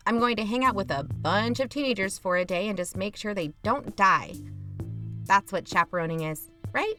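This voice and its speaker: A woman's voice: mid-range voice, expressive, normal speed, sharp tone, soft background music. A woman playing a reluctant, sardonic younger sister who hosts an investigative podcast in an audio drama, talking casually. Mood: wry, defensive. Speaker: podcast host character. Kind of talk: conversational audio fiction acting.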